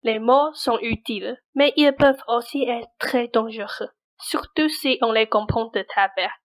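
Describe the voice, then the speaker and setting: A woman playing a blunt but caring confidante in a French radio play, speaking in a brisk, insistent, colloquial way. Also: a little high. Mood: earnest, persuasive. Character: blunt, pushy but caring female confidante urging Cinderella onward.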